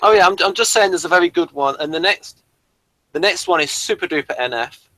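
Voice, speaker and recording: A man's voice: high voice, expressive, brisk, bright, forceful tone, no room sound, poor audio. A man co-hosting a casual episode review, rambling and repeating himself as he talks. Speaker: co-host of a TV review show.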